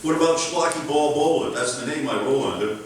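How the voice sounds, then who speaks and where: A man plays a swaggering, slow-witted bowler who struts through street slang and garbles his big words. His medium-pitched voice carries from far off across a very echoey room, with steady room noise behind. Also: close to an everyday voice. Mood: cocky. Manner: streetwise slang, garbled malapropisms, hesitant when flirting. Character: dim, swaggering male pro bowler, tough-guy boxer parody. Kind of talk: live amateur old-time radio comedy. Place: library meeting room, live show.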